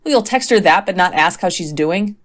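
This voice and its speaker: Woman acting a weary, exasperated teacher, slightly low. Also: expressive, brisk. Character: tired, exasperated woman teacher, sensible and dry. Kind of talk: comic phone-call audio sketch, conversational.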